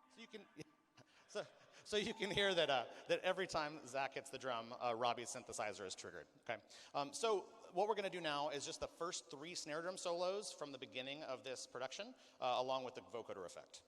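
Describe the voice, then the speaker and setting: A man's voice: medium pitch, sing-song, a little fast, a bit bright. A man giving an informal clinic presentation as a sound designer, explaining and demonstrating to listeners. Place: large hall, live clinic performance. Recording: long hall reverb.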